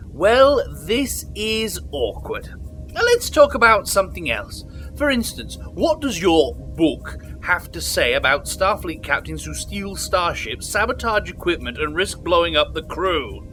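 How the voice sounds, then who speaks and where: Male actor as sly disgraced officer, highish voice, very animated, persuasive, arch, sci-fi audio drama. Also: measured pace, faint music behind, exaggerated voice. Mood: arch, needling. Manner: arch, insinuating, polite. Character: smooth-talking, scheming male disgraced officer, slyly persuasive. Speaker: starship officer, former captain. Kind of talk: science fiction audio drama acting.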